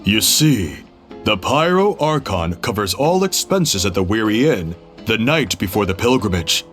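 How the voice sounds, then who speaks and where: A man's voice: fairly low pitch, sing-song, measured pace, fairly bright tone, faint music behind. An actor playing a friendly uncle innkeeper who hosts an eating contest, chatty and chuckling as he explains. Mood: cheerful. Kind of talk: game cutscene voice acting.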